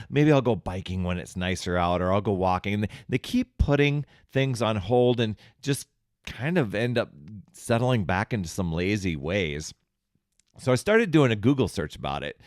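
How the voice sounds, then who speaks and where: A man's voice: on the low side, smooth, dry recording, clean studio sound. A man hosting a solo podcast, talking in a casual, chatty, conversational way. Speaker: podcast host.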